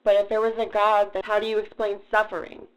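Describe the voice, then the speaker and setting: A woman voicing a skeptical, stubborn young girl with a terse deadpan manner in an improvised comedy radio play. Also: medium pitch, fast, clear, resonant tone, natural voice, low-quality recording. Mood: stubborn, doubting.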